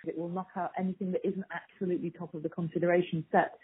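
A woman's voice: fairly low pitch, flat delivery, fast talker, slightly rough. A woman giving informal, emphatic and candid answers as a marketing guest in an interview. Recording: thin phone-like line.

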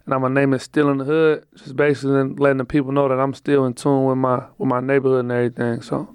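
A man's voice: medium pitch, level tone, quick pace, darkish tone, clear, resonant tone, dry recording. A man being interviewed as a rapper, telling stories in a laid-back, rambling, slangy way. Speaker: rapper guest. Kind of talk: casual interview storytelling.

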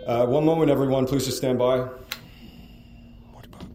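An actor playing an uneasy, deferring investigator in an audio drama, hesitant and conversational. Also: male voice, medium pitch, fairly even intonation, moderate pace, warm tone, faint music behind, close to an everyday voice.

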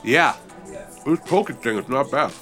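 Hesitant, animated hard-luck everyman. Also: medium pitch, measured pace, faint music behind. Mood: pleading, earnest. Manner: hesitant, polite, pleading. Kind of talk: audio drama comedy dialogue.

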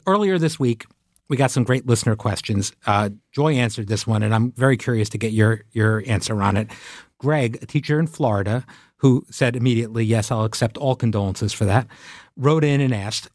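A man's voice: mid-range voice, no echo, clean studio sound. Agreeable man hosting a chat. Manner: agreeable, affirming, halting restarts. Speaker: podcast host and executive producer. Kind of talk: casual interview chat.

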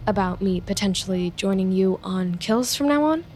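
A woman's voice: mid-range voice, lively intonation, moderate pace, a little mellow, faint music behind, close to an everyday voice. An actress playing an eager, awkward, chatty young woman in an audio drama, both confiding narration and dialogue. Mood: nervous, hopeful. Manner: chatty, hesitant, nervously upbeat. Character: eager, awkward, clingy young woman, human best friend of a vampire.

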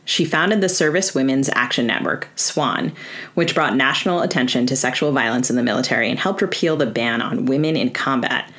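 A woman's voice: very deep voice, fairly quick, a bit bright. A woman co-hosting a podcast, reading a polished, scripted introduction of a guest. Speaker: podcast co-host.

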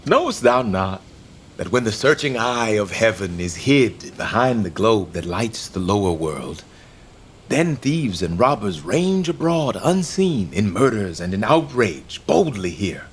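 A man's voice: on the low side, sing-song, unhurried, slight background noise. An actor playing a proud, doomed young English king, speaking in grand, declamatory Shakespearean verse that swings from whispers to ringing cries. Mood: defiant. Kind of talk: Shakespearean stage acting.

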